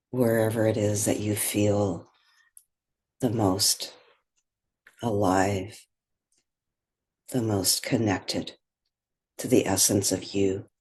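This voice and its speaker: A woman leading a guided meditation in gentle, unhurried phrases with pauses. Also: a bass voice, slightly rough.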